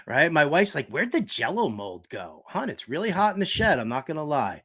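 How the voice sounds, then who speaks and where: A man with a fairly high-pitched voice hosts a casual sports talk show. He delivers comedic commentary with theatrical flair, often hammering a word or phrase twice for emphasis. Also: big pitch swings, moderate pace, pushed and bright, slightly rough. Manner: joking, theatrical, emphatic repetition. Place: shed used as home studio.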